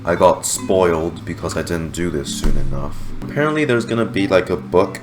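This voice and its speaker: A man's voice: low voice, expressive, normal speed. A young adult man giving casual, sweary and incredulous reaction commentary over a video game. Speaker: gaming video creator. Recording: game music under the commentary.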